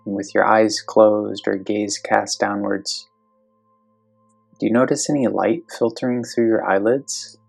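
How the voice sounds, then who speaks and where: A meditation guide speaking slowly and gently, giving calm step-by-step instructions in a guided meditation. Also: medium pitch, vocal fry, slightly rough, soft background music.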